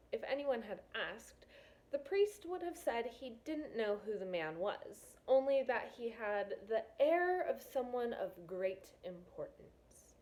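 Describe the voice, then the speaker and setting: A woman's voice: on the high side, rough recording. A woman teacher reading a novel aloud to students, with casual, encouraging asides. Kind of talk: read-aloud of a children's novel with explanatory asides.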